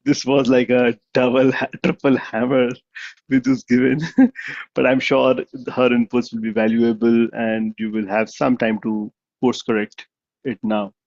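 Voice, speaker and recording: A man's voice: medium pitch, little pitch movement, unhurried, darkish tone, lo-fi sound. A man giving conversational spoken feedback at a club meeting over a video call, with many restarts and fillers. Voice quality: gravelly. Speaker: meeting evaluator.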